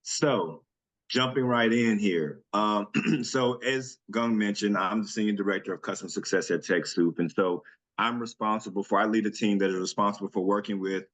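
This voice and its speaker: A man presenting a nonprofit webinar over a video call, talking in a relaxed, chatty way with frequent ums and restarts. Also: on the low side, normal speed. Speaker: senior director presenting a webinar. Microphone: computer mic on a video call.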